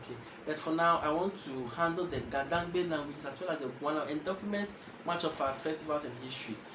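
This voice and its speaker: A young man with a Ghanaian accent hosting a casual language lesson straight to camera, explaining step by step and repeating words as he goes. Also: fairly high pitch. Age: young adult. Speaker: language teacher and channel host. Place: indoor room with patterned wall. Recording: echoey room with background noise.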